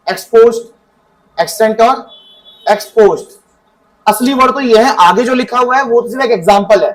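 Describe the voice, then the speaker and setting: Male teacher, high voice, quizzes the class and answers himself. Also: low-quality recording. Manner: asks students questions and answers them himself; reads definitions from the book slowly. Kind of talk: classroom economics lesson.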